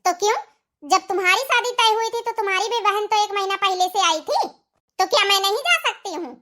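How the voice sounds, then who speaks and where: A woman acts out a newlywed cartoon daughter-in-law with an extremely high, bright voice. She is bossy and argumentative, pushing her point in a scolding, complaining manner. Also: fairly quick. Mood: insistent, dismissive. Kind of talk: cartoon comedy dialogue.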